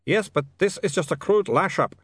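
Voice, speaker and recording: A frantic, trembling vampire inventor with a foreign accent, wailing and pleading in a storybook reading, as a man puts him on. The voice sits high and loud, in a dry room with no echo. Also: expressive, normal speed, slightly rough. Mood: sheepish.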